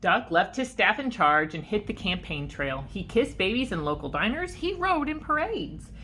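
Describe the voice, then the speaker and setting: A woman's voice: mid-range voice, very animated, moderate pace, sharp tone, a little hiss or hum. A woman reading a picture book aloud to children like a teacher, expressively and with questions to the listeners. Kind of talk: animated storybook read-aloud for children.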